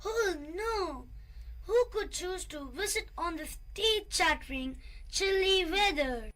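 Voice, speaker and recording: Lady doing a squeaky cheeky little guy, slow and whiny, kids story. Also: full character voice. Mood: excited. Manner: excited, exclaiming, drawn-out. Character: cheeky childish male creature, playful and greedy.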